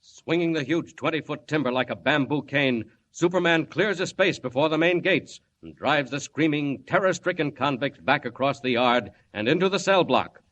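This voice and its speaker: A man's voice: fairly high pitch, expressive, normal speed, few pauses, a bit bright. A man narrating a 1940s-style radio adventure serial in a dramatic, declamatory manner. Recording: muffled, noisy old radio recording.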